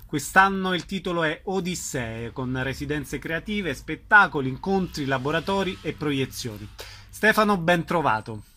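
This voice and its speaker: A man's voice: a little high, lively intonation, normal speed, sharp tone. A man hosting a radio show, reading introductions and putting questions to guests. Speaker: radio host. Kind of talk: scripted radio introduction and interview.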